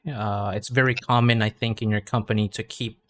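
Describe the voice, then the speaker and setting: A man's voice: on the low side, level tone, fairly quick, a bit bright. A man tutoring English over a video call, chatting casually and often restarting his words. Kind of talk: conversational English lesson.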